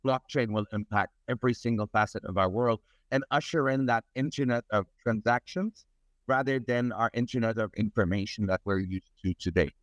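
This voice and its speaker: A man's voice: fairly low pitch, moderate pace, dry recording. A middle-aged man from Germany, speaking as an interview guest in an earnest, expansive way, often repeating words as he builds his sentences. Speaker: interview guest, technology advisor. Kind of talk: conversational interview. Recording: dull lo-fi recording.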